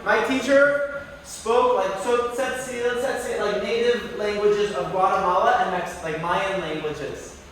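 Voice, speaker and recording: A man's voice: sits high, a little slow, fairly bright tone. Guy rambling to students, lots of like and you know, echoey hall, faint room hiss. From United States, near New York City. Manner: chatty, rambling, many fillers and self-corrections. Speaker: guest speaker. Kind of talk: casual classroom talk with questions to students. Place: university classroom. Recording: echoey room, distant mic, faint noise.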